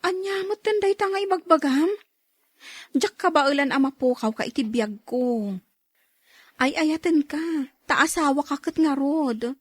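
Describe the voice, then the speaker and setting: Casting: woman as nagging young wife, Ilocano accent, high-pitched, emotive radio-style drama, close dry sound. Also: a little slow, long pauses, no room sound. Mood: testy. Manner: scolding, emotive. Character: nagging, quick-tempered young Ilocano wife, pregnant, devout.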